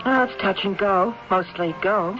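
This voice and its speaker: An actress playing a cold, scheming wife plotting her husband's death, brisk, controlling and dismissive, in 1970s radio drama style. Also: medium pitch, over music, lightly acted. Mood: cold, coaxing. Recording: dull old radio recording.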